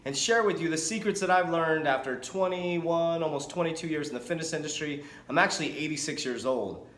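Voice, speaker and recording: A man's voice: a little high, a little fast, echoey room. A man in his forties, a fitness coach, talking energetically and chattily to camera with frequent restarts. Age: 40s. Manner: energetic, chatty, self-correcting. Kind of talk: casual talking-head vlog.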